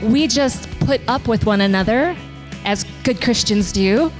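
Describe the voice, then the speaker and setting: A woman's voice: fairly high pitch, animated, a little slow, faint music behind. A woman giving a personal testimonial to a group, conversational and searching for her words.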